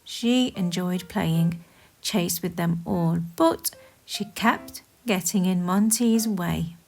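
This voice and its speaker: A woman reading a children's story aloud as expressive scripted narration, in a deliberate, animated manner. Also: on the low side, gravelly, soft background music, poor audio.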